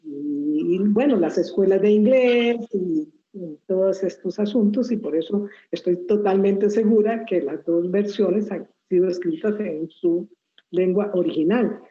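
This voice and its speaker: A woman interviewer asks thoughtful questions in a measured way. Her voice is mellow and warm. The recording is roomy and dull. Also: medium pitch. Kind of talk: book presentation interview.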